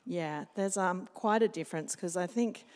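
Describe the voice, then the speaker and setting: A woman in her forties tells classroom stories with chatty animation into a handheld mic. Her voice sits slightly low. The church sanctuary around her rings with a long reverb. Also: normal speed, darkish tone. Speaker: scripture teacher and school chaplain. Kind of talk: casual interview on stage.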